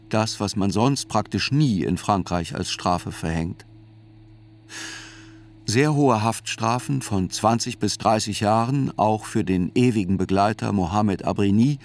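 An actor as a sober chronicler, narrating a radio drama in reflective, deliberate literary phrases. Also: male voice, on the low side. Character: sober male chronicler narrating a criminal trial.

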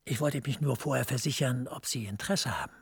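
An actor playing a smooth, persuasive senior EU official who speaks in a measured way. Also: male voice, mid-range voice. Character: smooth senior EU director, middle-aged man, persuasive.

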